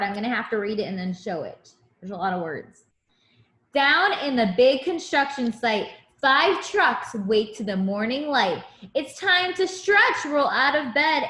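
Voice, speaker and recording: High-pitched, animated woman reading to children. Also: measured pace, roomy sound. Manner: expressive, simple phrasing for small children. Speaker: teacher reading a picture book to young students. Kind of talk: children's read-aloud with asides to the listeners.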